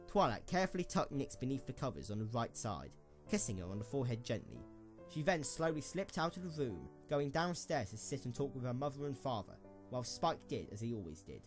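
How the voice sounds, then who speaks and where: A man narrating a scripted story as an expressive storyteller for an audio drama. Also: mid-range voice.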